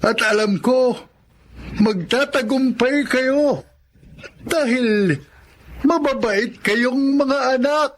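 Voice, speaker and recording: A man's voice: very high-pitched, slow pace, long pauses. A man playing a kindly, generous older benefactor in a Filipino radio drama, with a Filipino accent. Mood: warm, pleased.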